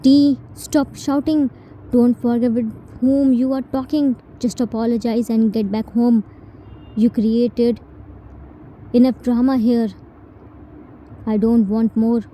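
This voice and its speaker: A stern, hot-tempered young man who scolds and commands, as a woman puts him on. The voice is slow and dark, with a light hum of noise behind it. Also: on the high side, fairly even intonation, poor audio. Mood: scolding.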